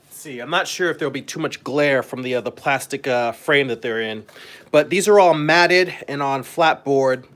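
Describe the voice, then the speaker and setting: A man's voice: mid-range voice, normal speed, fairly bright tone. A man who works as a comic artist, chatting casually and a bit ramblingly as he hosts a live stream. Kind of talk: casual live-stream chat while demonstrating.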